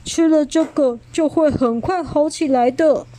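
A woman voicing a gentle, caring T-rex father in a storybook reading, speaking softly and dotingly. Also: high voice, a little slow, slight background noise, pitched above the performer's own voice. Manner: soft, doting and reassuring.

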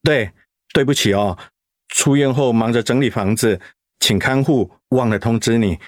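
A man voicing a dutiful middle-aged son and schoolteacher in a radio drama, speaking in a steady, subdued way. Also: medium pitch, normal speed, no echo, studio quality, close to an everyday voice. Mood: warm.

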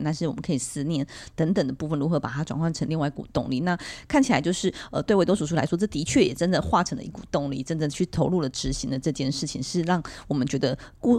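Taiwanese lady hosting an interview, talks quick n wordy, polite, close dry sound. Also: sits low, a little mellow, no room sound. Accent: Taiwanese Mandarin. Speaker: podcast host, interviewer. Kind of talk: conversational interviewing.

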